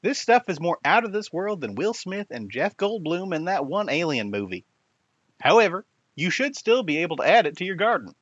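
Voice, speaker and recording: A man's voice: high voice, big pitch swings, normal speed, a bit bright, no room sound, exaggerated voice. A man playing an eccentric, enthusiastic soil science professor with theatrical, precise academic delivery, recording a scripted voice memo. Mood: baffled excitement. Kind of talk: scripted voice memo in audio drama. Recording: dull, lo-fi voice memo, dry.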